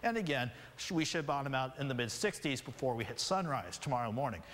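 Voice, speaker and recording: A man's voice: medium pitch, big pitch swings, quick pace, fairly bright tone, big-room sound. A man presenting a conversational television weather forecast as the station's meteorologist. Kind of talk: broadcast weather report, conversational ad-libbed presenting. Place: television studio.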